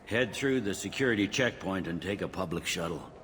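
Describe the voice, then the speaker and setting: Deep-voiced male security officer. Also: animated, brisk, a bit bright. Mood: helpful. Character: helpful security officer giving directions. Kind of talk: video game dialogue. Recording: noisy ambience behind.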